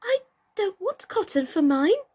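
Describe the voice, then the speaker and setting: A scatterbrained female doll from a children's storybook. She is pitched high and swoops about, excitable and stammering over her words. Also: a little slow, exaggerated voice. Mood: tearful, frantic.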